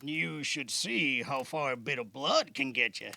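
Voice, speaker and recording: Man acting as a gravelly, guarded veteran caster. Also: medium pitch, expressive, bright, forceful tone, full character voice. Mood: thoughtful. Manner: measured explaining with thoughtful hums. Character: Alan, weathered veteran male caster, patient, modest, wryly guarded. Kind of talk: amateur audio drama acting.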